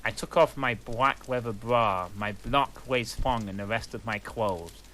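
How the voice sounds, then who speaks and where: A man's voice: fairly low pitch, a little slow, sharp tone. A man reading a story aloud in a comic, mock-earnest manner, with a fireplace crackling behind him. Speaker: reader.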